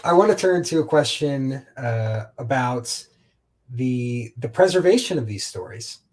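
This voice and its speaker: Male host, mid-range voice, reflective and articulate, measured conversational questioning. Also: medium pitch, animated, a little mellow. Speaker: host, interviewer. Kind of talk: conversational interview hosting.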